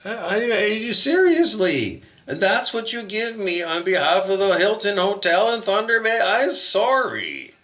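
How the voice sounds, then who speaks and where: Canadian guy ranting and swearing, voice jumps all over, kinda high. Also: big pitch swings, unhurried, hardly any pauses, pushed and bright. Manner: profane, meandering, frequent restarts and fillers. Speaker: hotel guest complaining. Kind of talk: rambling monologue rant.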